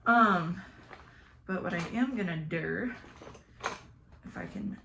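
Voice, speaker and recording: Monotone Southern young woman, gravelly low voice. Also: slow, slight background noise, poor audio. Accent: Southern American. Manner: monotone, mumbles and whispers while doing makeup. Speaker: beauty vlogger. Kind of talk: casual get-ready-with-me chat.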